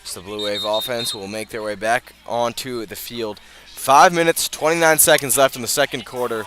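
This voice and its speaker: A man doing live radio play-by-play of high school football, often halting and correcting himself as he goes. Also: background noise. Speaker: play-by-play sports announcer.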